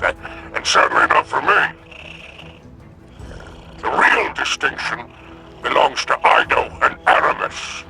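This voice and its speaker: An actor playing a sly, greedy, smug alien crime boss in a video game, gravelly and theatrical, with sardonic drawn-out pauses. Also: male voice, a little high, bright, forceful tone, heavily acted, low-quality recording. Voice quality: gravelly, creaky growl. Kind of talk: video game character dialogue.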